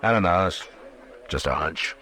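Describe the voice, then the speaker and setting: An actor playing a weary, steady frontier marshal in a radio western, laconic and measured. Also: male voice, low voice. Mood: downbeat, easygoing. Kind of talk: 1950s radio western acting. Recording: old radio broadcast, background chatter.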